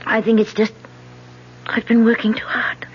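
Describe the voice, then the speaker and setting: A woman's voice: a little high, monotone, slight background noise, natural voice. Anxious stammering young woman, an actress playing her in a radio play. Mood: uneasy. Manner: halting, fearful stammer. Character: anxious young woman politician hiding a past killing. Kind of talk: 1970s radio drama acting. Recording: dull lo-fi vintage radio broadcast.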